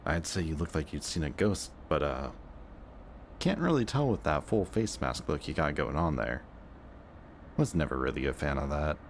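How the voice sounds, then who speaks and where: A man's voice: rumbling low, animated, normal speed, creaky, a little hiss or hum, full character voice. A young man playing a cocky, sarcastic antihero villain with a secretly kind streak, talking in casual, teasing banter in a roleplay audio drama. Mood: amused, teasing. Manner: casual, sardonic, teasing banter with muttered asides.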